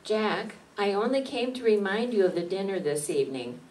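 A young secretary, played by a woman, courteous and reserved but firm, in a staged radio play. Her mid-range voice is picked up from a distance over clear room noise. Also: medium pitch, animated, moderate pace, a little mellow, natural voice. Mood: firm, annoyed. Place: meeting room stage before an audience. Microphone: stand microphone. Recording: distant mic, noisy room.

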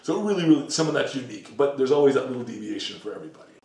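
A middle-aged diet coach explaining nutrition in a rambling, casual way, full of fillers and restarts. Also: male voice, medium pitch, animated, racing, darkish tone. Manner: rambling, many fillers and self-corrections. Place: home kitchen, indoors. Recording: echoey kitchen, mic at a distance.

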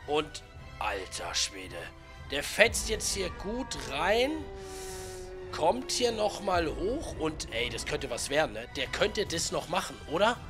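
A man in his twenties with a cold, giving chatty, slangy, excited commentary on a video game over faint music. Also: a little high, takes it slowly. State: has a cold. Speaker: gaming YouTuber.

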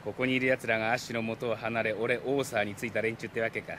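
Man as a sharp, scheming gang villain. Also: lowish voice, little pitch movement, fast talker, a little hiss or hum, natural voice. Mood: cold, commanding. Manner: menacing, rough, commanding. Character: scheming, commanding young male street gang leader, villain. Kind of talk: radio drama acting.

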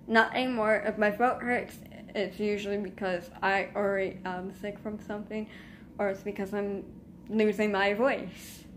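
A woman's voice: mid-range voice, little pitch movement, normal speed, a little hiss or hum. Rambling teen girl venting to a phone. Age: teens. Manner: rambling, restarts and trailing asides. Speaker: vlogger. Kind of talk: casual venting monologue. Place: small indoor room. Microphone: phone camera at arm's length.

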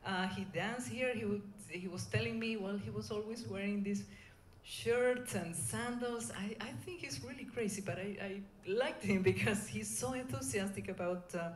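A middle-aged woman with a Venezuelan Spanish accent gives an informal conference talk, speaking in a hesitant, halting way. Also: medium pitch. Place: indoor conference room. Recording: echoey room, clear background noise.

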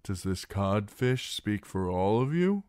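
A man's voice: lowish voice, sing-song, takes it slowly, a little mellow, clear, resonant tone, dry recording, clean studio sound. A man voicing a hulking, belligerent male brute who defies all threats. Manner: belligerent, defiant. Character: hostile hulking male fish-faced brute.

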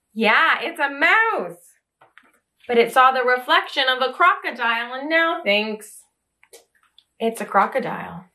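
A woman's voice: high voice, pushed and bright. A woman in her thirties hosting children's story time, reading a picture book expressively and asking the listener questions. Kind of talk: animated picture-book read-aloud for young children. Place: small curtained room indoors.

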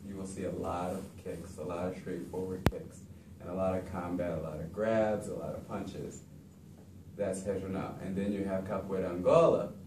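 A young man teaching about capoeira in a relaxed, conversational way, recorded from across a classroom. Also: low-pitched. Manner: relaxed, conversational, frequent "you know". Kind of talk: informal classroom talk. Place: large classroom, indoors. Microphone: far camera. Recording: echoey room, distant mic, background noise.

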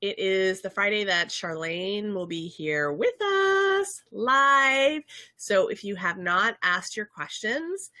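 A woman in her thirties, stuffed up with a summer cold, co-hosting a casual livestream from home in an excitable, chatty way with plenty of ums. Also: medium pitch, takes it slowly, dry recording. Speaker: co-host of a game studio livestream. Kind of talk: casual live chat. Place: home room with bookshelves, indoors.

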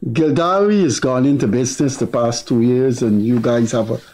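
An older man with a Guyanese accent, hosting a political talk show in a studio with measured, deliberate delivery. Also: medium pitch, darkish tone. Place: TV studio with virtual set.

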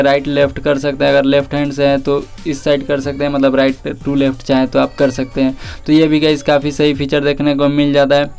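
Clear-voiced young man, evenly pitched. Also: a little mellow. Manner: addresses viewers familiarly, mixes in English words. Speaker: tech tips video presenter. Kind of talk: casual tutorial voice-over. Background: background music.

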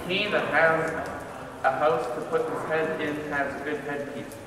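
A man's voice: on the high side, lightly acted. A young man voicing a witty, riddling male court jester in a sing-song recitation, in an amateur class-project puppet reading. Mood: teasing. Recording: echoey room, far from mic, background noise.

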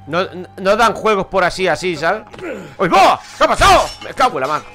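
Chatty Madrid gamer, bright high voice. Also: male voice, sing-song, brisk, faint music behind. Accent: Madrid Spanish. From Madrid, Spain. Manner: chatty, swears, laughs at own stories. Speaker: gaming streamer. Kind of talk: casual let's-play commentary. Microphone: headset.

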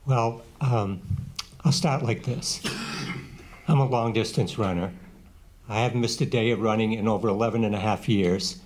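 A man in his seventies, a former councilor, giving a plain-spoken, steady closing statement at a candidate forum, seated at a table microphone in a small meeting room. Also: on the low side, moderate pace, pauses often, slight background noise. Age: 70s. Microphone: table microphone on a stand.

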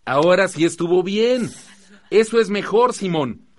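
A Mexican man playing an earnest, firm youth-group facilitator in a radio drama, speaking in a measured, didactic way. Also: high voice, lively intonation. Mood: encouraging, patient. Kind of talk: Spanish-language radio drama acting.

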